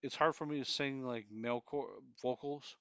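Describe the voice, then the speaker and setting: A man's voice: medium pitch, fairly even intonation, normal speed, a bit bright, clear, resonant tone, dry recording, lo-fi sound. A man in his forties co-hosting a podcast, chatting casually with a joking, profane manner. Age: 40s. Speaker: podcast co-host. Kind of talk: casual chat.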